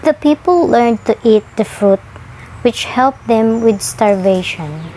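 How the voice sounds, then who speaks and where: A woman's voice: a little high, expressive, takes it slowly, warm tone, slight background noise, rough recording. A woman reading folktales aloud as scripted narration. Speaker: storyteller.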